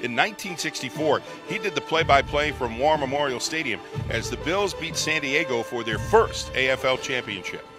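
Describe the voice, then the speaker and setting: A man giving scripted narration for a sports tribute, even and measured. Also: medium pitch, music in the background. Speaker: documentary narrator.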